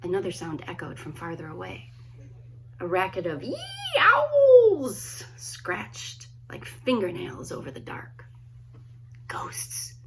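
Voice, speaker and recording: A woman, a children's author, reading a story aloud expressively, with dramatic pauses and hushed emphasis. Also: lowish voice, a little hiss or hum, poor audio. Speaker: children's book author reading own work. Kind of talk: expressive storybook read-aloud, friendly introduction.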